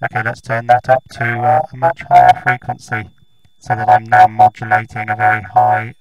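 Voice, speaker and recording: A man's voice: mid-range voice, normal speed, no room sound, muffled recording, faint music behind. A British man giving a casual, hesitant demonstration through a robotic vocoder that turns his voice into buzzing tones. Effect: robotic vocoder, voice modulating buzzing tones and noise. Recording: noisy, humming vocoder output.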